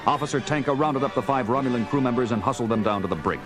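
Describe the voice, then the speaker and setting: Adventure narrator, played by a man: brisk, dramatic storytelling over loud music, vintage audio drama. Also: mid-range voice, lightly acted. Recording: vintage 1970s record, loud music behind.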